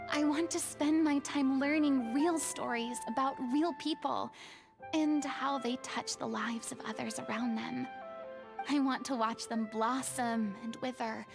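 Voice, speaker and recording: An actress voicing a poised, eloquent former goddess turned actress in a game, speaking in a formal, reflective way. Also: female voice, sits high, vocal fry. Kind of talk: game voice acting.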